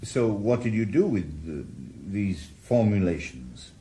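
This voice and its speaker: A man's voice: on the low side, sing-song, a little slow, dark tone, slight background noise. An actor in a radio drama playing a retired male detective who is blunt and probing, with dry humour. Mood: questioning. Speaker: ex-policeman investigator.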